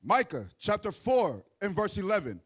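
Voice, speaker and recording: Very high-voiced man reading scripture. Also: huge pitch swings, normal speed, fairly bright tone, slightly rough. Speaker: scripture reader. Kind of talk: reading Bible verses aloud.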